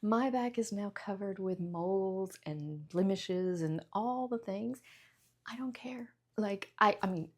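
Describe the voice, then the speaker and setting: A fifty-something woman talks her way through a try-on haul in a chatty, self-deprecating way, laughing often. Her voice sits at a middle pitch with a noticeable gravelly fry. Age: 50s. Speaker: fashion vlogger. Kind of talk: casual try-on haul chat.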